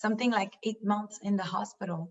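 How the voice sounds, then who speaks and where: A woman with a French accent chatting on a video call, talking quickly in halting, trailing phrases. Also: medium pitch, fairly even intonation. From France. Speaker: class participant. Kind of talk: casual chat on a video call. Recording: dull lo-fi call audio.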